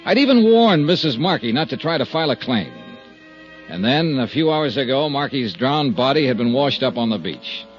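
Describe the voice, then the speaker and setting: A man plays a brash insurance investigator from fifties radio detective drama. His lively voice swings up and down as he delivers wry slang with punch. It sounds like a dull old broadcast recording with a little music under it. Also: mid-range voice, normal speed, close to an everyday voice. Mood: brisk narrating. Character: brash wisecracking male freelance insurance investigator.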